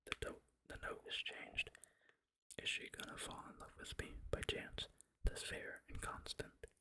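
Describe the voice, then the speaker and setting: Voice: a little slow, bright, forceful tone. A person whispering the lines of an eager, gallant young swordsman while reading a novel aloud for ASMR. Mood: earnest. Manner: whispered, earnest.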